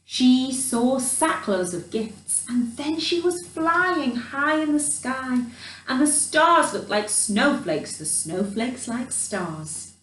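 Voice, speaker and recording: A woman reading a children's picture book expressively, in an animated storyteller's manner with chatty asides. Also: high voice, slow, a little hiss or hum. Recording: roomy echo, distant microphone.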